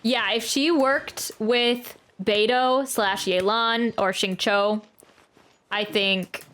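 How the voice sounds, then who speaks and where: A woman's voice: fairly high pitch, fairly bright tone. A woman giving casual, chatty live commentary as a game streamer, thinking aloud as she plays. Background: video game music.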